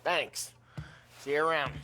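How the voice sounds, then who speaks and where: A man's voice: a little high, fairly even intonation, a little slow, pushed and bright, a little hiss or hum. A man playing a hot-headed, wisecracking gangster in a game cutscene, cocky and punchy, with an American accent. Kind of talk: video game cutscene acting.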